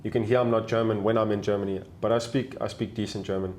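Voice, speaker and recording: A man with a South African accent talks in a slightly low voice, easygoing and slangy. His sentences tend to fade off quietly at the end. It sounds like a casual podcast chat. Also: fast, slight background noise. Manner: relaxed, loose and slangy, often trailing off softly. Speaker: podcast guest.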